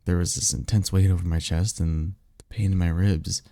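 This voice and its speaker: A man playing a guarded, secretive vampire in an ASMR roleplay, speaking in a halting, insistent, colloquial and sardonic way. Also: sits low, steady tone, vocal fry, dark tone, dry recording, clean studio sound. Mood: earnest, intense. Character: guarded, secretive male vampire offering magical mentorship. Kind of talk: ASMR roleplay audio drama.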